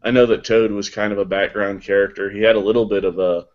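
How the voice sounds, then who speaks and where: A man, a voice actor, answering interview questions casually in a deliberate, wordy way. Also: fairly low pitch, level tone. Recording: dull lo-fi online call audio.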